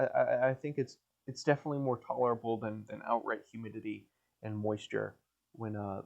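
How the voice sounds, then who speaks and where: A young man with a nasal voice rambles alone into the mic, deadpan and crude, stumbling over his words. He sounds dry-mouthed and a bit coughy, like he's been sweating in a hot basement all day. His pitch sits right in the middle for a man. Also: lo-fi sound. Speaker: podcast host. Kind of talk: solo rambling podcast monologue.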